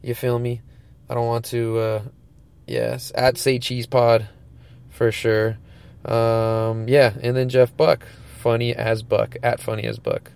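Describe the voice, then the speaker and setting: A man's voice: mid-range voice, flat delivery, slow, creaky, a little mellow, clear, resonant tone. A congested man hosting his own podcast, talking in loose, laid-back slang with lots of fillers. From California. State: stuffed up, congested. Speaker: podcast host. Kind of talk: casual chat.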